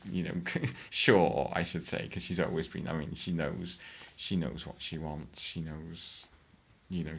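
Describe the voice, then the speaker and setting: A woman, chatting hesitantly in a casual vlog update, with many fillers and frequent throat-clearing. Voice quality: deep voice. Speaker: vlogger.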